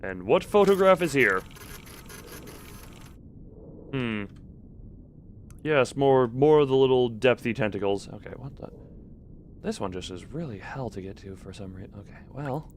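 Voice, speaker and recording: A male game streamer talks to himself with wry, casual commentary, his pitch swinging in a lively way. He mutters plans and figures as he works things out. A clear rumbling game ambience sits behind his voice. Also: normal speed, slight background noise. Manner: self-talking, wry, mutters numbers and plans. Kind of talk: casual gaming commentary, thinking aloud.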